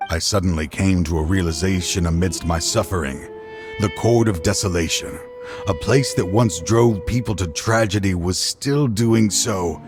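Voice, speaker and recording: A man playing a remorseful, resolute father and dying official in a video game, speaking gravely and haltingly. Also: low voice, natural voice. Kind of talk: dramatic video game dialogue. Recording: game music behind the voice.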